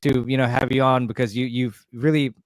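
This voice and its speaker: A man's voice: mid-range voice, flat delivery, a little fast, dry recording. A man hosting an online interview, upbeat and friendly, with lots of fillers. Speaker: podcast host.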